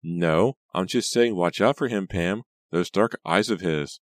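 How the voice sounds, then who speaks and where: A man's voice: fairly low pitch, big pitch swings, normal speed, no echo, clean studio sound, voice pushed up. A man voicing a cruel, smug teenage girl in an audiobook, with a sarcastic, toying, mock-innocent manner. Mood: sly teasing. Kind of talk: audiobook character dialogue.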